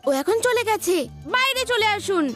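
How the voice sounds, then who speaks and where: A brave young boy hero, voiced by a woman, speaks calmly and confidently. His voice is very high and lively. Music plays under the cartoon. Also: moderate pace. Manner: calm, polite and confident. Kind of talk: cartoon dub acting.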